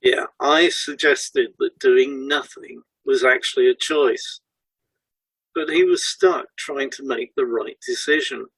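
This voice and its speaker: Gravelly, husky man in a dry recording. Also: medium pitch, sing-song, slow pace, fairly bright tone, no echo, rough recording. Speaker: podcast co-host, hypnotherapist. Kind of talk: scripted podcast dialogue, recounting a case.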